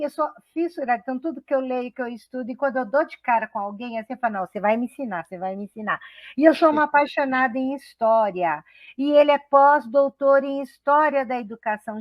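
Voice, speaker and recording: A woman's voice: high-pitched, a little fast, no echo, lo-fi sound. A middle-aged woman from São Paulo state, hosting a web radio talk show in a chatty, enthusiastic way, often restarting her sentences. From São Paulo state, Brazil. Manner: chatty, enthusiastic, laughs easily, many restarts. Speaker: host.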